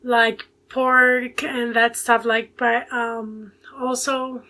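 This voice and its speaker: Bright-voiced young Ecuadorian woman. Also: fairly high pitch, little pitch movement, very slow. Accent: Ecuadorian Spanish. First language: Spanish. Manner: hesitant, many fillers, searching for words. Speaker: guest. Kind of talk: casual video call interview. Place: home bedroom with bookshelves. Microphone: earphone mic on a video call.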